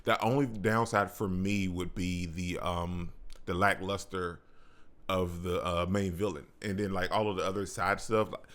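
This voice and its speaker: A man's voice: deep voice, little pitch movement, moderate pace, a bit bright. A man chatting casually as a movie reviewer on his own channel, in a colloquial, slangy and enthusiastic way. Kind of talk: casual video review chat.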